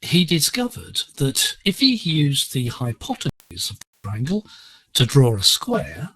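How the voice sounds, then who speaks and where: A man presenting a scripted educational lesson on shortwave digital radio, explaining in a measured, deliberate way. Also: medium pitch, wildly swooping pitch, fairly bright tone, slightly rough, poor audio. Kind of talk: scripted instructional narration.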